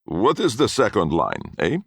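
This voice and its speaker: A pompous old immigrant gentleman, as a man puts him on, lifting his voice above the reader's own and dragging out each phrase. He speaks with broken word order, booming like he is reciting. The recording is close and dry. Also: huge pitch swings, vocal fry, husky, no echo, full character voice. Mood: declaiming. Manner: broken word order, declaiming, heavy slow emphasis.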